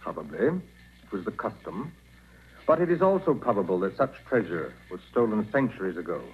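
An actor playing a formal, fussy museum doctor in a 1940s radio drama, speaking precisely and politely. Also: male voice, on the low side, sing-song, moderate pace, breathy edge, heavily acted. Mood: guarded, formal. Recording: muffled, faintly noisy 1940s radio recording.

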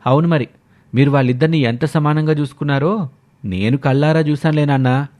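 A man's voice: a little high, a little fast, a little mellow, lightly acted. A man playing a defiant, sarcastic married son arguing in a family story. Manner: argumentative, sarcastic. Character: defiant married adult son, argumentative, defending his wife.

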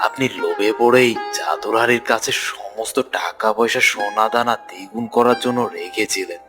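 A man's voice: lowish voice, normal speed, close to an everyday voice. Man playing a village man, music behind. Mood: earnest, admonishing. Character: deferential village man addressing his landlord, cartoon fairy tale. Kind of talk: cartoon fairy-tale acting.

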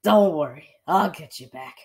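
Woman voicing a measured young male adventurer. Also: on the low side, lively intonation, a little mellow. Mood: teasing. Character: knowledgeable, steady young adult male wildlife adventurer. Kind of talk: amateur cartoon fan dub. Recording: music behind the voice.

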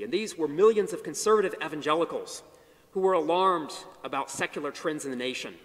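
A middle-aged man giving an academic history lecture from a podium on a large chapel stage, measured, with emphatic phrase openings that fall to low endings. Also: a bit bright. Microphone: podium mic. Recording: long hall reverb.

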